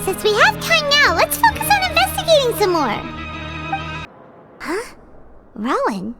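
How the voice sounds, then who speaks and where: A woman voicing an excitable, childlike little fairy companion in a video game, squeaky and full of exclamations. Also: measured pace, loud music behind the voice, exaggerated voice. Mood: inquisitive. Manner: speaks of herself in the third person, exclaiming. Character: small childlike floating fairy companion, excitable, food-loving. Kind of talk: video game character dialogue.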